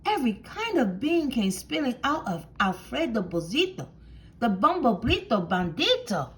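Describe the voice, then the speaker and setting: A woman reading a children's storybook aloud as narrator, with expressive, theatrical and deliberate phrasing. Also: a little high, a little hiss or hum. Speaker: storybook reader.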